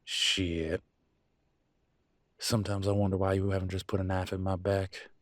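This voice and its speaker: A man's voice: deep voice, flat delivery, normal speed, dry recording, clean studio sound, close to an everyday voice. A man playing a proud, wounded werewolf husband and country vet in a roleplay audio drama, with a drawl and a folksy, bitter, raw way of talking. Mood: hurt, accusing. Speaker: voice actor. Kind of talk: dramatic roleplay audio, one side of a couple's argument.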